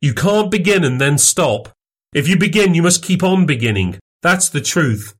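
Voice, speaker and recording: A man voicing a smooth, cynical medical man who coaxes and mocks a younger accomplice in a storybook reading. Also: on the high side, measured pace, voice pushed up, natural voice. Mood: mocking. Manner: coaxing, persuasive, mocking.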